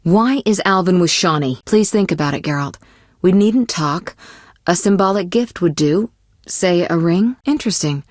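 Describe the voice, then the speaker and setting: A woman acts out a poised young sorceress for a video game, her voice on the low side and recorded dry and close. She speaks in measured, articulate phrases, gently chiding. She sounds worldly and caring, with a teasing, possessive edge. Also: animated, dry recording, natural voice. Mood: calm, measured. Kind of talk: scripted video game voice acting.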